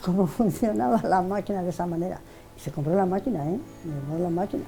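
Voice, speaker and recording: A woman's voice: on the low side, quick pace, creaky, warm tone, faint music behind. An older woman being interviewed, telling old memories in a rambling way, often repeating words and restarting. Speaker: interviewee, widow of the artist. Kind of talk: casual reminiscing in an interview.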